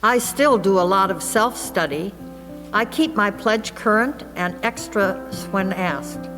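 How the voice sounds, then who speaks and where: A woman's voice: mid-range voice, slow. A woman reading written church testimonials aloud as a member of a team. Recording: reverberant hall, loud music behind. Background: loud music.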